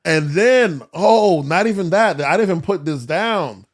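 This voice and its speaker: A man's voice: high-pitched, animated, measured pace. A man co-hosting a casual podcast, giving his opinions in a slangy, profane, rambling way. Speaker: podcast co-host.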